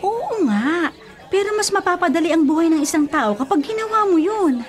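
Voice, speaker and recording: A woman playing a bossy, superstitious older sister in a radio drama, scolding, insistent and quick to defend herself. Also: very high-pitched, expressive. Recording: prominent music behind the voice. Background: music.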